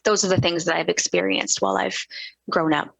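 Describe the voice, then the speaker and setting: Low-voiced woman interpreting a panel talk. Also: very animated, creaky, fairly bright tone, dry recording, low-quality recording. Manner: measured, halting, with frequent um and uh. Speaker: sign language interpreter voicing a panelist. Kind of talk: first-person spoken interpretation of a panel talk. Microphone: video call.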